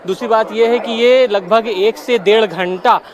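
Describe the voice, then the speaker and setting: High-pitched male street reporter amid crowd. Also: measured pace, dull audio. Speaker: field news reporter. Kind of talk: live TV field report commentary. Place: outdoors on a crowded city street. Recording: loud crowd noise around voice.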